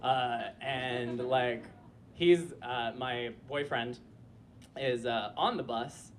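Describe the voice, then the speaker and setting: A young man performs a comic story live on a club stage through a handheld mic. His voice sits at an ordinary pitch and carries a slightly fussy, disappointed-mom tone, broken up by filler words. A faint hum of room ambience sits under him. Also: very slow. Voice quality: voice like a disappointed mom, per a friend. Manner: chatty, lots of filler likes, frequent laughter. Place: small club stage before a live audience.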